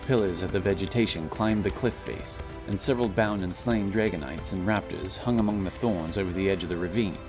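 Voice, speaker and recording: A man's voice: low voice, fairly quick, few pauses, over music. A man reading scripted, dramatic narration for a fantasy story.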